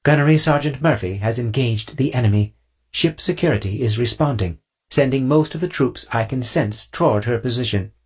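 Man voicing a precise, higher-pitched female observer. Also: moderate pace, close to an everyday voice. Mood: alert.

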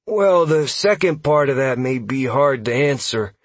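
A man performs a jittery male elf scout for an audiobook. The voice sits clearly higher, with a hesitant, apologetic delivery that is eager to explain lore. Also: animated, a little slow, no room sound. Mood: eager, explanatory. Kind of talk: audiobook character dialogue.

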